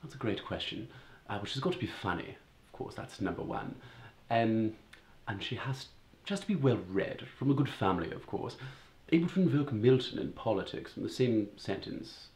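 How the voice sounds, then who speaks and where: A man's voice: on the low side, big pitch swings, normal speed, darkish tone, reverberant, pitched below the performer's own voice. A young man voicing a snobbish bachelor, drawling and plummy. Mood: haughty. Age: 20s. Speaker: voice actor showing accents. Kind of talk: accent showcase sketches.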